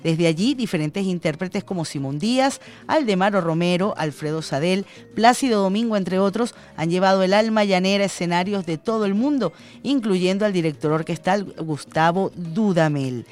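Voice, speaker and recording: A woman's voice: lowish voice, moderate pace, hardly any pauses, a little mellow. Chatty Venezuelan woman radio host. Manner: chatty, personal anecdotes with fillers. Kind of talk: radio news and call-in chat.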